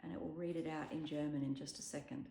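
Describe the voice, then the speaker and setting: A woman's voice: low-pitched, steady tone, quick pace, vocal fry, very noisy. A woman casually walking through an app demo at home, chatty and explanatory with frequent ums. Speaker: app tutorial presenter. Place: home room with a dog around. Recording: echoey room.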